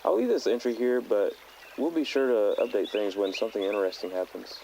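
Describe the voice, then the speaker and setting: A man playing a thoughtful teenage hiker who speaks haltingly and earnestly into a personal audio diary. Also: on the low side, warm tone, close to an everyday voice, poor audio. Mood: relaxed, reflective. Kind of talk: found-footage audio log monologue. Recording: outdoor tape log with nature noise.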